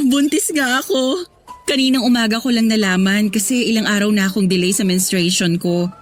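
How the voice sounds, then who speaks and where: Radio romance lead: actress as affectionate office girlfriend, tender and playful, medium pitch, soft music bed. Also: natural voice. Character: affectionate woman, newly pregnant office worker and girlfriend. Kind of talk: Tagalog radio drama acting. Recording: music bed under dialogue.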